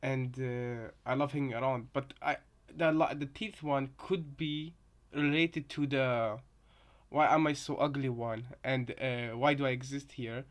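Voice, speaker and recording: Rambling young-sounding guy, halting casual commentary. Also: medium pitch, steady tone. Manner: rambling, halting, repeats phrases. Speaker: reaction video host. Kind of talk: casual commentary on game voice lines.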